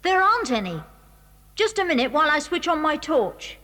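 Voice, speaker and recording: A woman's voice: high voice, very animated, moderate pace, a bit bright, no echo. A woman playing an eager, confident English schoolboy in a children's audio drama. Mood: knowing, instructive.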